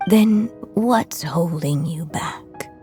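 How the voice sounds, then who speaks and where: An actress playing a gentle, wise mother's fading echo in a video game, speaking as a tender, solemn storyteller. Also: on the low side, very slow. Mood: tender, encouraging. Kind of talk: scripted video game voice acting. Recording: loud music under the voice. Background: music.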